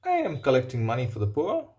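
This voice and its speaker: A man's voice: medium pitch, wildly swooping pitch, quick pace, a little mellow. A man voicing a cheerful, kindly nephew in a storybook, with an eager manner.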